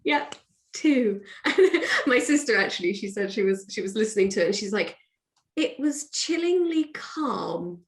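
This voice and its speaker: A woman chatting casually as a writer guest in an interview, telling things in an animated, chatty way with quoted asides. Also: medium pitch, unhurried. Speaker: guest, audio drama writer.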